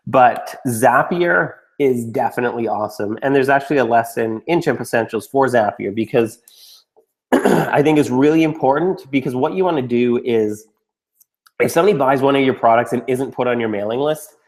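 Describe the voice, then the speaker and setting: A man getting over a cold, a little raspy and snuffly, answering student questions in a casual, frank Q&A. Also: mid-range voice, moderate pace. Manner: blunt, frank, with mild swearing and restarts. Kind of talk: casual Q&A talk.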